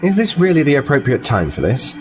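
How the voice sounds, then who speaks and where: A man's voice: fairly high pitch, huge pitch swings, brisk, faint music behind. Composed male starship officer played by an actor. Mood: firm, diplomatic. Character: seasoned male Starfleet officer, patient and matter-of-fact. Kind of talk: audio drama acting.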